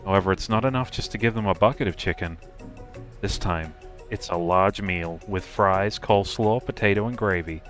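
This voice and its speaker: A man's voice: low voice, normal speed, fairly bright tone, soft background music. A man narrating a comic game as a streamer, reading scripted lines in a theatrical, playful way.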